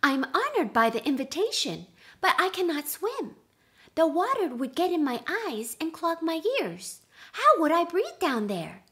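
Cheeky storybook rabbit, performed by a woman: extremely high, swooping voice, wily, polite and theatrical. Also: big pitch swings, unhurried, fairly bright tone, exaggerated voice. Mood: curious, polite. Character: clever, cheeky male rabbit trickster.

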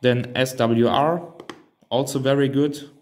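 Man, German native speaker, slow pace, mid pitch, thinking aloud, hands-on product demo. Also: steady tone, a little mellow. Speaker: reviewer demonstrating a radio transceiver. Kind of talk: unscripted hands-on product walkthrough.

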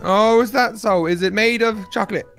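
A performer voicing a cheeky, excitable baby character in a playful, wide-eyed Minecraft roleplay. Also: mid-range voice, moderate pace, lightly acted. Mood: amazed. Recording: music behind the voice.

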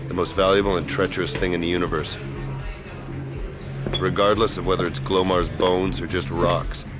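A man's voice: low-pitched, lightly acted. An actor playing a cynical, hard-drinking space prospector in a video game, with a sardonic, world-weary tough-guy manner. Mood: weary, boastful. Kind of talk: video game cutscene acting.

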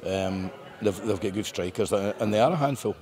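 A man's voice: fairly low pitch, normal speed, a crowd in the background. A Scottish man in his fifties, a football manager speaking upbeat and rambling after a win. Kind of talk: post-match interview. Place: bare indoor room with painted brick walls.

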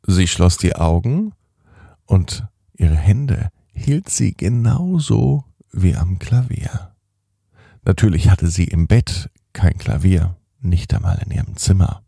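A middle-aged man hosting a children's podcast, telling a bedtime story in a slow, soothing, gently animated way. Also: low voice, vocal fry, husky, no room sound, studio quality.

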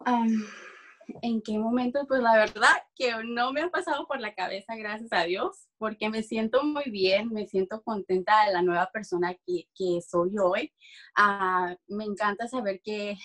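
A young adult woman from Mexico with a Mexican accent, giving a personal testimony in conversation on a video call, halting as she searches for words. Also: mid-range voice, a bit bright. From Durango, Mexico. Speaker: interview guest giving a testimonial. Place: small room at home, video call.

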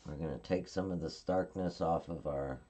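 A woman at her craft table giving a calm, step-by-step card-making tutorial while she works. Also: a bass voice, moderate pace. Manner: calm, instructive, narrating her hands-on work.

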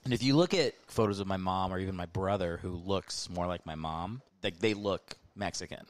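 A man in his thirties hosting a casual comedy podcast, animated and laughing often as he talks in quick bursts. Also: sits low. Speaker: podcast host.

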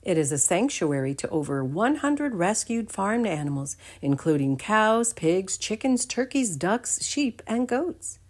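A woman's voice: mid-range voice, very animated, a little slow, hardly any pauses. A woman reading a children's storybook aloud expressively.

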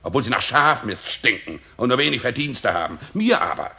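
A roguish messenger in a 1960s German radio play. He grumbles and boasts with wide swings of pitch, in a middling male register, puffed up with his own importance. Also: sing-song, brisk, sharp tone, exaggerated voice. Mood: indignant. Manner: indignant, boastful storytelling.

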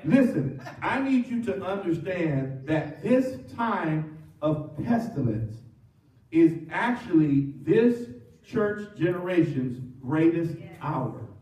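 A middle-aged man preaching a sermon as pastor, animated and full of call-and-response. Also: fairly high pitch, slow pace. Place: church sanctuary with cross wall. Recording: roomy church from far off.

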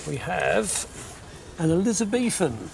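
A man's voice: high voice, very animated, measured pace, gravelly, a little hiss or hum. A middle-aged or older man, a repair expert, talking casually with a customer in a barn workshop. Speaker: radio and electronics repair expert. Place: large timber barn workshop.

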